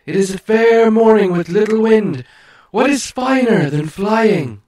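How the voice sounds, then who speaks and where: A man's voice: sits high, expressive, a little slow, darkish tone, roomy sound, far from the mic, pitched above the performer's own voice. A man putting on a lofty eagle. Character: proud great male eagle.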